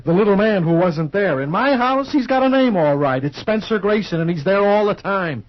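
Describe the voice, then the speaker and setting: Grumbling, put-upon husband. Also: male voice, high-pitched, animated, normal speed. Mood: dry teasing. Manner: dry, grumbling, plain-spoken. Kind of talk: 1950s radio soap opera acting. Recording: muffled vintage radio recording.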